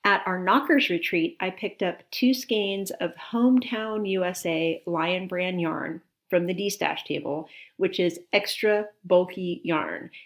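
Woman, low gravelly voice, chatty knitting podcast co-host, enthusiastic, sniffly. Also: takes it slowly, few pauses. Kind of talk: casual chat about knitting.

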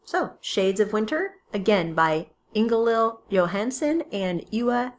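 A woman's voice: medium pitch, expressive, a little slow. A woman co-hosting a casual podcast, chatting about a knitting book, often restarting her sentences and laughing at her own slips. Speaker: podcast co-host. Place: home recording with a kitten nearby.

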